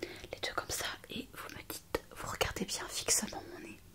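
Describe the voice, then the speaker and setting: A woman playing a kind, eager young hospital intern in an ASMR roleplay, speaking in a soft, breathy whisper with an attentive, hesitant manner. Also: moderate pace, natural voice. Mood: attentive, soothing. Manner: attentive, hesitant, gently reassuring. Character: kind, eager, chatty young female hospital intern on her first night shift.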